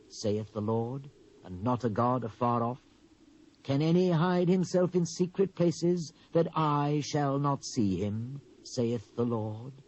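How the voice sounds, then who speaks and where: A British actor playing a stern, wrathful Hebrew prophet in a dramatised scripture reading, solemn and declamatory, swelling and dropping for emphasis. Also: male voice, medium pitch, darkish tone. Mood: wrathful, commanding. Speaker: Bible narrator. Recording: dull lo-fi cassette transfer.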